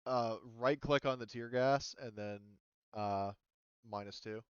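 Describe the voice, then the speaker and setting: A man with a clear, smooth voice runs a tabletop game as game master. His talk is informal and casual, and the audio is dry, close and slightly dull. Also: medium pitch, a little slow, dry recording. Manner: informal, swears. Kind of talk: casual tabletop game moderating over online session.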